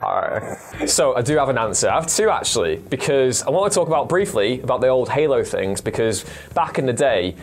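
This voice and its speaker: A man's voice: medium pitch, very animated, a little fast, slight background noise. A man chatting casually as a panellist, rambling through his opinions with enthusiasm and slang. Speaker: panellist on a gaming show.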